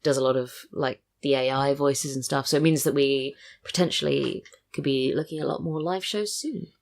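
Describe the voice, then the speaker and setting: Young woman, really low voice, casual podcast guest chat. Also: normal speed, creaky. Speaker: podcast guest, audio drama writer and voice actor.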